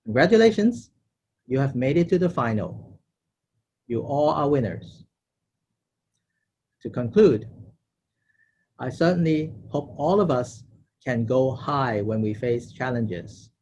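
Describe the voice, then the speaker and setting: A man's voice: mid-range voice, animated, slow pace, long pauses, darkish tone, highs cut off, a little hiss or hum. A man delivering a prepared thank-you speech as the president of an organization. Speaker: organization president giving closing remarks.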